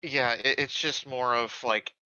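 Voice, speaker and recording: A man playing a tabletop roleplaying game, talking casually in a halting, deliberate way. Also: mid-range voice, fairly even intonation, bright, forceful tone, clean tone, dry recording, muffled recording.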